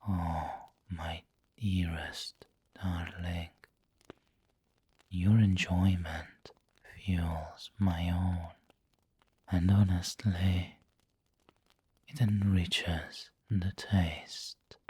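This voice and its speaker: A man playing a doting, refined vampire lord in an ASMR roleplay, speaking intimately in a breathy whisper with formal wording. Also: low-pitched, flat delivery, drawn-out words, pauses often, darkish tone, no room sound, exaggerated voice. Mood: seductive, tender.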